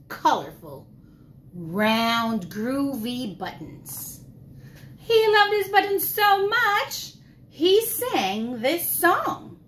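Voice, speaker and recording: A woman preschool teacher reading a picture book aloud to young children in an exaggerated, expressive way, asking questions as she goes. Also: high-pitched, slight background noise. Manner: gasps and drops to whispers for suspense. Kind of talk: animated children's storytime read-aloud.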